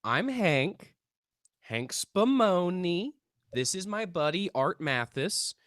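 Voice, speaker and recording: A performer plays a young male amateur investigator in a drama table read. The delivery is earnest and persuasive, swinging widely in pitch. The sound is dry and close. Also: no room sound.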